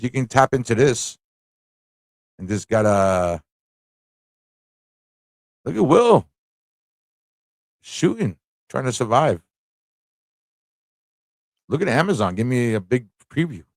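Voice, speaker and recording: A man's voice: medium pitch, big pitch swings, measured pace, long pauses, no echo, studio quality. A man hosting a casual live stream, thinking aloud in an easygoing, informal way as he chats, reads aloud and reacts.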